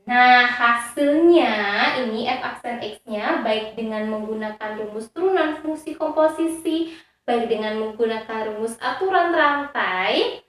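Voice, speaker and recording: Female teacher, slightly high voice, didactic step-by-step delivery with frequent check-ins, recorded in a very reverberant room. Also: animated, unhurried, a bit bright. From Indonesia. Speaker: high school math teacher. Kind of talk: step-by-step lesson explanation.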